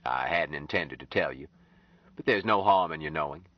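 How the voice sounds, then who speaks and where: A man reading an audiobook, voicing a gruff older man who speaks dryly and bluntly. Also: very deep voice, lively intonation, moderate pace, no echo. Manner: dry, blunt, grumbling. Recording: slightly dull lo-fi audio.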